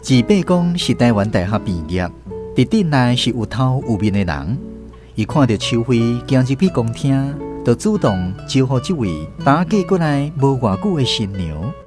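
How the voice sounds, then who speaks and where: A Taiwanese man tells a story as a radio drama narrator. His mid-range voice moves with very lively intonation over clear guitar music. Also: mid-range voice, moderate pace. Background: acoustic guitar music.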